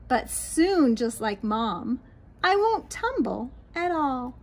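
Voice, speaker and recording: A middle-aged American woman reading a picture book aloud to young children in an expressive, sing-song way, outdoors on a patio. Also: high-pitched, slow. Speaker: children's read-aloud host, teacher. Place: small backyard patio, outdoors. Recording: light outdoor background noise.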